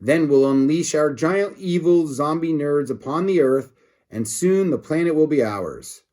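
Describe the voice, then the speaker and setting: A man's voice: on the high side, lively intonation, unhurried, mellow. A man voicing a gloating, scheming alien villain in a storybook, with a sneering, menacing manner.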